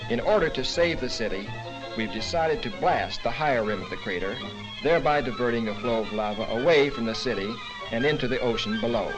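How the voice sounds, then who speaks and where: Man playing a grave scientist over clear music. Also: mid-range voice, fairly quick, highs cut off, close to an everyday voice. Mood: grave, matter-of-fact. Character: grave, measured scientist explaining an emergency plan. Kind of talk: 1940s cartoon acting.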